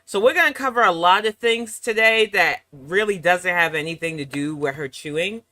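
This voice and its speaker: Sassy slightly hoarse woman streamer. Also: lowish voice, expressive, normal speed, sharp tone, dry recording. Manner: sassy, mocking asides. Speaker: reaction channel host. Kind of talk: casual live-stream chat.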